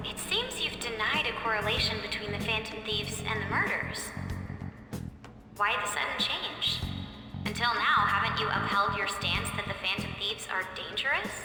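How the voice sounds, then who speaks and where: Probing young interviewer with swooping intonation. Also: female voice, mid-range voice, wildly swooping pitch, normal speed. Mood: formal, probing. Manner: formal, probing, insistent. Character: earnest, incisive young female student interviewer. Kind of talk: English game voice acting, formal interviewing. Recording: echoey hall reverb with music behind.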